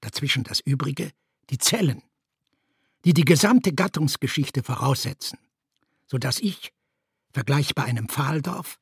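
A man giving a measured, formal reading of a scripted literary text. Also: fairly high pitch, slightly rough, no echo, studio quality. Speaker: reader in a literary audio piece.

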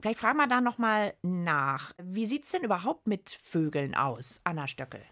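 A woman's voice: fairly low pitch, big pitch swings, normal speed, fairly bright tone, dry recording, studio quality. A woman hosting a children's podcast in a playful, conversational presenting style. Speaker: host of a children's science podcast.

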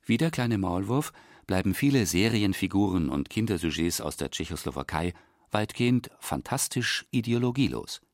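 A man reading scripted narration for a radio documentary, expressive and deliberate. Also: low voice, dry recording, clean studio sound.

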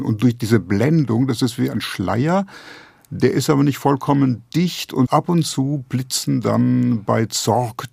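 A man's voice: fairly low pitch, vocal fry, darkish tone, breathy edge, dry recording. A man speaking as a novelist in an interview, explaining ideas reflectively in long, winding, intellectual sentences. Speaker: novelist guest discussing own book.

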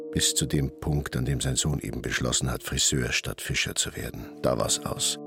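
Reflective hairdresser son, very deep voice, plain-spoken, radio drama narration, clearly audible music behind. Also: male voice, exaggerated voice. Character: Franz, brooding hairdresser son of an old fisherman. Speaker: actor who also narrates his figure. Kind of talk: literary radio drama, narration and inner monologue.

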